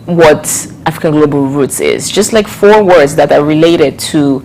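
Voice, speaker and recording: A woman hosting a TV interview in a conversational way, rephrasing her questions as she goes and offering soft affirmations. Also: sits low, a little slow, slight background noise, low-quality recording. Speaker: TV interview host.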